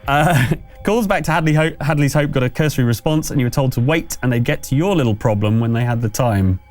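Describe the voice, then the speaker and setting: A man's voice: mid-range voice, quick pace, darkish tone, soft background music. A man narrating a tabletop roleplaying game as game master, in a conversational storytelling manner. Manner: conversational scene-setting storyteller.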